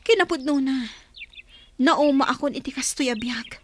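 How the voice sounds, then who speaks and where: A woman's voice: high voice, normal speed, slight background noise, natural voice. An actress playing an earnest, defiant young village woman weary of conflict in a radio drama, with a Filipino accent. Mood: frustrated. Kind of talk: Ilocano radio drama acting.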